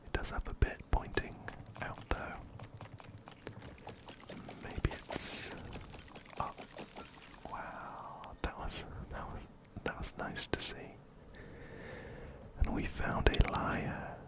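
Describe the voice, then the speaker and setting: A man whispering softly and breathily into a close binaural mic as he hosts an ASMR game playthrough, unhurried and often repeating words and phrases. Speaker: ASMR video host. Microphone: close-up binaural mic, ear to ear.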